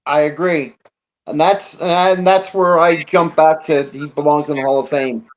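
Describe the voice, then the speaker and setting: A man's voice: fairly high pitch, fairly even intonation, unhurried, low-quality recording. A man co-hosting a casual sports talk show, giving emphatic, indignant opinions with conversational asides.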